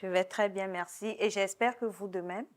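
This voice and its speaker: A woman's voice: mid-range voice, steady tone, moderate pace. A woman hosting a TV interview, addressing her guest politely and formally. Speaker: TV show host.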